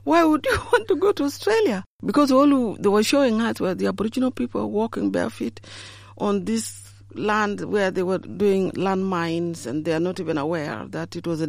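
Middle-aged Ugandan woman interviewee, lively storyteller who laughs through her anecdotes; dry, close sound. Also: medium pitch, creaky, dry recording. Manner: warm storyteller, laughs often, acts out quoted voices.

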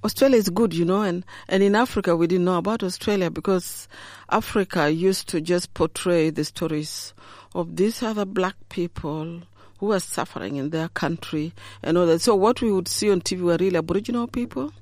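Middle-aged Ugandan woman interviewee, lively storyteller who laughs through her anecdotes; dry, close sound. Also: lowish voice, dry recording. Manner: warm storyteller, laughs often, acts out quoted voices.

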